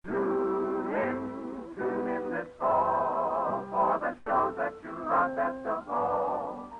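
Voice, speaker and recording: Female vintage jingle singer, high and slow, over music on a narrow-band radio recording. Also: huge pitch swings. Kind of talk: sung 1940s radio jingle. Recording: thin old radio, loud music.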